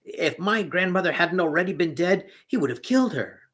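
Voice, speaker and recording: A man voicing a clever young peasant in a fairy tale, speaking in a raised, excited voice. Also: high voice, a little fast, pitched above the performer's own voice. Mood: indignant.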